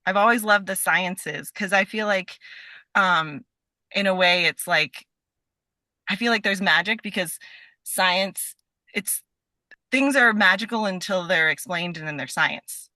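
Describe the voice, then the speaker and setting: A woman with a bright, forward voice at an average pitch chats as a podcast guest. She is informal and enthusiastic, rambling through her thoughts, in a dry recording. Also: unhurried, dry recording. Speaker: podcast guest, scientist and performer. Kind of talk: casual chat.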